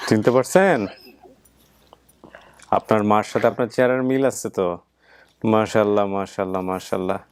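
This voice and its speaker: A man's voice: fairly low pitch, normal speed, long pauses, slight background noise. A man hosting a reunion show, asking questions in an informal, conversational way. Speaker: host of a family reunion show. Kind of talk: informal interviewing.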